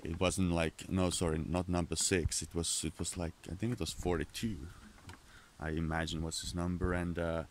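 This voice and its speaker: A man's voice: sits low, fairly even intonation, moderate pace, slight background noise. A man rambling in a candid, profane monologue, full of restarts and detours, with Finnish as his first language. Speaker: vlogger.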